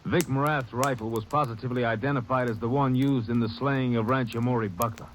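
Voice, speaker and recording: A man's voice: mid-range voice, fairly even intonation, brisk, lightly acted. A man narrating the epilogue of a 1940s radio drama in a formal, matter-of-fact way. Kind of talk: scripted narration. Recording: old radio broadcast, distant, noisy.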